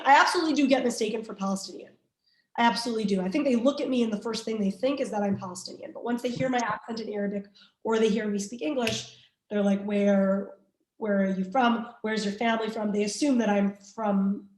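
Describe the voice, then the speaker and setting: A woman's voice: medium pitch, darkish tone, echoey room, rough recording. A woman from Canada, a journalist answering interview questions candidly, with many restarts and fillers. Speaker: journalist guest.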